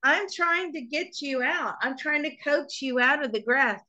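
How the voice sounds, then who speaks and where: A woman hosting a live call-in show as a psychic reader, talking in an animated, coaxing way and laughing often. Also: high voice, measured pace, highs cut off.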